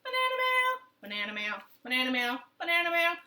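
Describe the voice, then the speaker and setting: A woman's voice: very high voice, sing-song, fast, bright, forceful tone, echoey room. A woman leading a children's storytime from her living room, playful and sing-song with rhymes and silly voices.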